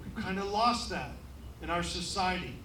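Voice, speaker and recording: A man emceeing a ceremony, joking at his own expense and pausing often on fillers. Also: high voice, animated, pushed and bright, a little hiss or hum. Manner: joking, self-deprecating, halting with many fillers. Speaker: event emcee. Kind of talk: ceremony speech with jokes. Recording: echoey room, voice from far off.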